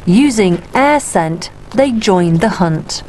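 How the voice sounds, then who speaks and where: British woman doing documentary voice-over, calm and steady, noisy background. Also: medium pitch, takes it slowly. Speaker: documentary narrator. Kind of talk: scripted narration.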